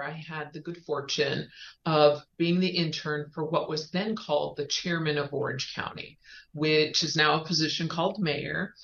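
A woman's voice: sits low, fairly bright tone. Middle-aged woman in a roomy, dull recording. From Spain, on US military bases. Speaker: guest, economic development executive. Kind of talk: interview answer, career narrative. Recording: roomy, slightly dull sound.

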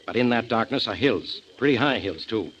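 An actor playing a brisk, commanding, self-satisfied police inspector in a 1940s radio crime drama. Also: male voice, on the low side, animated, a bit bright. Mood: brisk, explaining. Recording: muffled, noisy 1940s radio recording.

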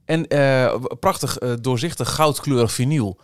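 A man's voice: mid-range voice, moderate pace, clean tone, no room sound, studio quality. A man chatting casually and enthusiastically as a podcast co-host.

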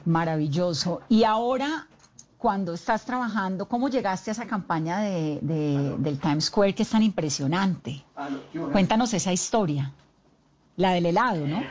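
A woman's voice: on the low side, animated, normal speed, darkish tone, lo-fi sound. A woman hosting a radio interview, enthusiastic, eager with follow-up questions. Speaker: radio host.

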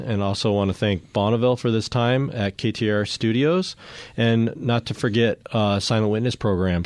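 A man's voice: on the low side, dry recording. A man with an American accent hosting a casual radio interview as a police sergeant.

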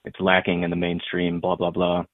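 A man's voice: low-pitched, completely flat delivery, normal speed, dry recording. A man calling in to a radio show over a telephone, chatting casually, hesitant and correcting himself. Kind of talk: casual phone-in chat. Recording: tinny narrowband phone line.

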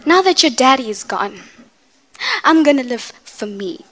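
Young woman acting a high-voiced, defiant wronged mother. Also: expressive, a little slow, a bit bright, heavily acted. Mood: defiant, resolute. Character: wronged, defiant mother venting to her grown children. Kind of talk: dramatic monologue.

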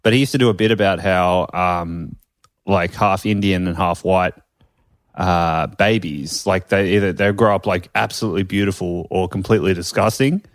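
A man's voice: low voice, fairly even intonation, moderate pace, no room sound, studio quality. A man co-hosting a comedy podcast over a video call, firing off crude, teasing one-liners. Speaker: podcast co-host.

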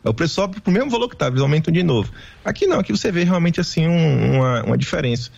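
A man from Bahia with a Bahian Brazilian accent, a remote guest giving animated, casual political commentary. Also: fairly high pitch, very fast, vocal fry, husky, muffled recording. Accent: Bahian Brazilian Portuguese. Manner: animated, mimics others' voices in quotes.

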